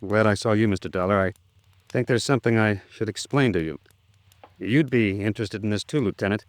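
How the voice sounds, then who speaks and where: A man with an American accent playing a polite, uneasy office man with something to hide, speaking haltingly in an old radio drama. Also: lowish voice, no echo, close to an everyday voice. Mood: awkwardly grateful. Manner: hesitant, halting, courteous. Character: polite, uneasy male company man hiding guilt. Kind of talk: 1950s radio drama acting.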